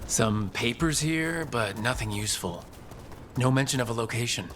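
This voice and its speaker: A man's voice: medium pitch, lively intonation, moderate pace, a bit bright, slight background noise, natural voice. An actor playing a level-headed, determined male adventurer hero in a video game, protective and grim. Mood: searching, focused.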